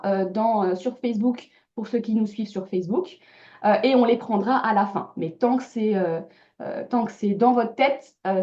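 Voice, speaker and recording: A woman's voice: on the high side, mellow, lo-fi sound. A woman mortgage broker moderating a webinar over a video call, speaking hesitantly with many fillers. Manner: hesitant, many filler sounds, polite hosting. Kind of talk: webinar presentation.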